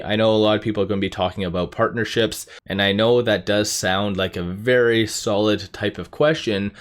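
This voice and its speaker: A man giving informal investor commentary as a YouTube host, rambling as he thinks out loud. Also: lowish voice. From Canada.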